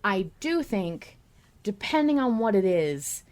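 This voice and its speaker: A woman hosting a casual podcast discussion, thinking out loud at length with some emphasis. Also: mid-range voice, very animated, slow. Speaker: podcast host.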